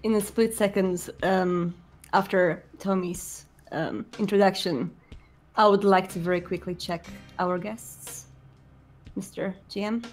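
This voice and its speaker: A woman's voice: mid-range voice, fairly even intonation, a little slow, faint music behind. A woman playing a tabletop roleplaying game, narrating her character's actions.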